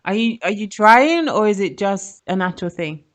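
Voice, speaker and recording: A woman's voice: medium pitch, animated, moderate pace. A woman hosting a radio show, interviewing conversationally with short interjections and questions.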